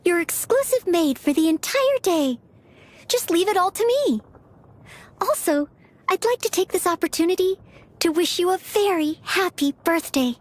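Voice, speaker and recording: A woman's voice: extremely high pitch, lively intonation, moderate pace. A woman voicing a polite, self-effacing young maid and aspiring knight in a video game, eager to please. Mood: devoted, bright. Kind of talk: video game character voice lines.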